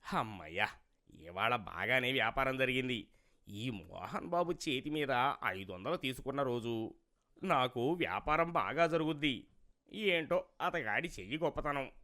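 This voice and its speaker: A man's voice: mid-range voice, bright, forceful tone. A man voicing a greedy, wheedling village vegetable seller in a cartoon moral story, with a plaintive manner. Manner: rustic, colloquial, plaintive way of talking.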